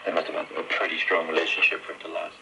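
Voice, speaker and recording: Deep-voiced man on very noisy shortwave radio. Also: completely flat delivery, rapid-fire, creaky. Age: middle-aged. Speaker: interview guest. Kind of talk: personal storytelling in a radio interview.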